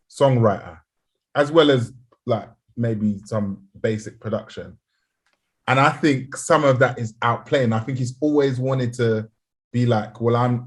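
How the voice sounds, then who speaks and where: A man co-hosting a music podcast, chatting casually and quick to agree. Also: mid-range voice, husky. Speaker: podcast co-host. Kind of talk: casual chat.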